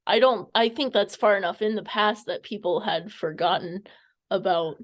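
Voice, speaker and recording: A woman's voice: mid-range voice, normal speed. A woman interviewing someone casually, tentative and rambling, stumbling over her words as she goes.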